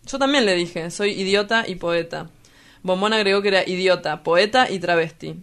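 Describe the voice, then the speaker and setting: A woman's voice: fairly low pitch, normal speed, a bit bright. A woman with an Argentine accent playing a crude, cynical young male street poet in a radio drama, blunt and teasing.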